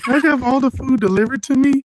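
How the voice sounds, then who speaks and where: A man calling in to a show, shy and halting, with a soft sweet voice over an online call. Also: extremely high pitch, no room sound. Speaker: call-in listener. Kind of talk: comic call-in bit.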